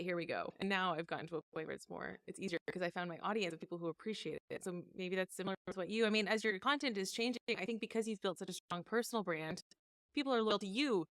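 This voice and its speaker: A woman's voice: medium pitch, a bit bright, no echo. A woman hosting a podcast interview, enthusiastic and gushing in a casual way. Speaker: podcast host.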